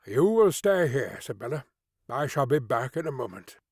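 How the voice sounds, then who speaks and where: Casting: domineering old doctor, played by a female narrator, low voice, animated swings, sardonic, pompous, impatient, for storybook reading. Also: moderate pace, husky, no room sound, studio quality, heavily acted. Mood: commanding. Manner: pompous, commanding.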